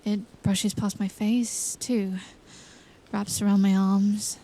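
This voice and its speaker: A woman acting in an audio drama as an earnest young princess in training, speaking with hesitant wonder and deliberate phrasing. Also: mid-range voice, level tone, mellow, low-quality recording. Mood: wondering, focused. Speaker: voice actor.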